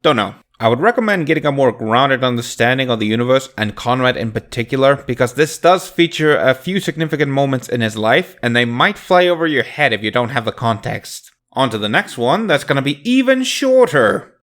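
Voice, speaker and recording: A man's voice: mid-range voice, very animated, brisk, hardly any pauses, a bit bright. A man hosting a video review, giving casual scripted commentary in a jokey, emphatic way.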